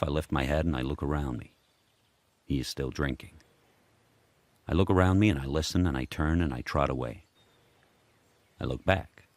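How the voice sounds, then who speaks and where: An actor voicing a powerful, solitary dog turning wild, telling his story in terse, measured present-tense sentences for a radio drama. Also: extremely low pitch, dry recording.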